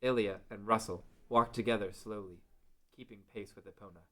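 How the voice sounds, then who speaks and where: A man's voice: on the low side, fairly even intonation, fairly quick, a bit bright. A man reading dramatic scripted narration for a fantasy story as its narrator.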